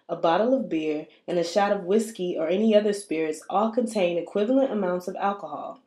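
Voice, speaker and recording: A woman's voice: medium pitch, mellow, roomy sound. A young woman reporter reading scripted documentary narration.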